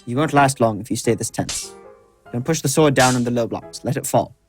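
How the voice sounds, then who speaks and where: An actor playing a seasoned sword instructor in an audio drama, dry, blunt and patient, with a measured teaching manner. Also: male voice, medium pitch, faint music behind, natural voice. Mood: firm, instructive.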